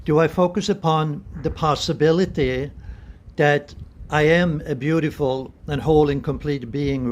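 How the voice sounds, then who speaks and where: Slow-spoken older man, higher-pitched. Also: a little hiss or hum. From Sweden. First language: Swedish. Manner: deliberate, pausing, earnest. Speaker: spiritual vlogger. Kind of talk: reflective spiritual talk to camera. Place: small room indoors. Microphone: phone at arm's length.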